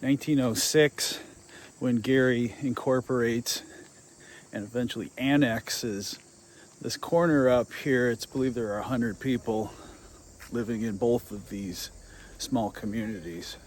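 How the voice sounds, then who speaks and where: A man's voice: mid-range voice, steady tone, a little slow. Man hosting outdoors, insects and noise behind. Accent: American. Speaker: host of local nature exploration videos. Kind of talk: casual on-location vlog narration with history asides. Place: outdoors in a nature preserve.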